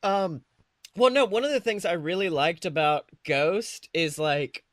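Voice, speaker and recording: Man, high pitch, bright forceful voice, excitable podcast co-host, slangy casual movie chat, dry close sound. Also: unhurried, dry recording.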